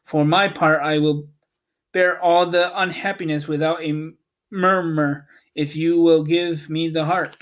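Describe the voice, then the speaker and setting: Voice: medium pitch, steady tone, unhurried, lightly acted. A reader voicing a stubborn, yearning metal man in a storybook, solemn and determined. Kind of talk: storybook character reading.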